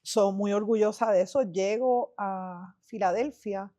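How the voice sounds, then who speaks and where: A woman's voice: medium pitch, fairly even intonation, normal speed, dark tone. A woman in her fifties with a Puerto Rican accent, a native Spanish speaker, tells personal stories conversationally, switching freely between English and Spanish and acting out dialogue. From Puerto Rico. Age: 50s. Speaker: podcast guest, film festival director.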